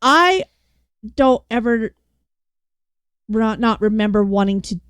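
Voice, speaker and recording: A woman answering interview questions on a casual podcast, giggly and halting, often restarting her sentences. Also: on the high side, animated, fairly bright tone, smooth, dry recording, studio quality. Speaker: podcast guest.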